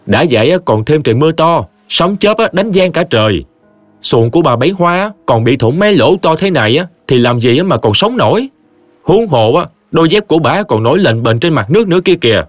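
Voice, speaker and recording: A man's voice: a little high, sing-song, a little fast, no room sound, soft background music, pitched above the performer's own voice. A man doing a blunt gossiping villager. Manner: chatty, blunt, raised voice.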